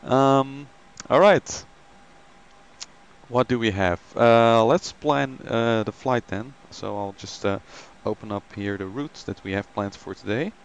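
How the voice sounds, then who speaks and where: A man's voice: mid-range voice, slow pace, smooth, lo-fi sound. A man hosting a flight simulator live stream, chatting casually with many ums and greeting viewers by name.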